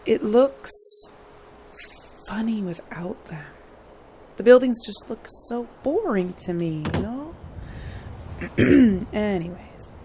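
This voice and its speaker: Woman, hoarse voice, casual gaming chatter, rambling, clears throat often, mid-pitched, faint background hiss. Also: expressive, takes it slowly, pauses often, mellow. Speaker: gaming channel host. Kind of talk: casual gameplay commentary.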